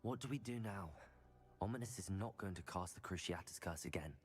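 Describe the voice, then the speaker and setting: A man playing a calm, earnest teenage student wizard hero in a scripted video game. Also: deep voice, fairly quick, husky, soft background music. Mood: sympathetic.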